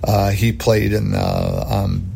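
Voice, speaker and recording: A man, a hockey head coach, answering interview questions in a halting way with many fillers and restarts. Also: on the low side, flat delivery, vocal fry, mellow, a little hiss or hum.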